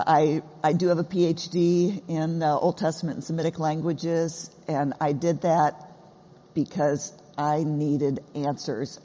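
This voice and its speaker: Swedish-born woman guest, laughs at herself, warm husky tone, hall sound. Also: low-pitched. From Sweden, raised in the U.S. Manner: laughs easily, self-deprecating. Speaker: guest scholar. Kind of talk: live stage interview. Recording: dull sound with long hall reverb.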